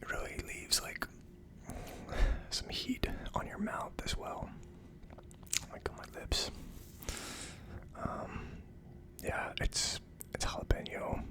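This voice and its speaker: A person whispering in a hushed, unvoiced way, hosting a casual ASMR tasting review. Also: slow, pauses often. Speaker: host of an ASMR whiskey tasting show.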